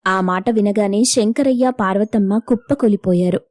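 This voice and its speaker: మెత్తని, వెచ్చని గొంతుతో కథ చెప్పే స్త్రీ. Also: female voice, mid-range voice, normal speed, darkish tone, no echo, studio quality. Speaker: story narrator. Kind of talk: scripted moral story narration.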